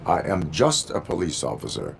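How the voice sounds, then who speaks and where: A grave cyborg police officer from a video game. The voice is deep, flat and robotic, with clipped, formal phrasing. Faint noise sits behind it. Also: male voice, normal speed, creaky, exaggerated voice. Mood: stern, matter-of-fact. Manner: clipped, formal, deadpan statements. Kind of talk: video game cutscene acting.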